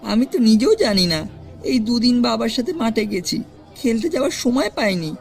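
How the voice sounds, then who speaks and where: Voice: medium pitch, sing-song, moderate pace, creaky. An earnest village boy played by an actor explains himself in a hurry. It is audio drama acting with faint music underneath. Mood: anxious earnest.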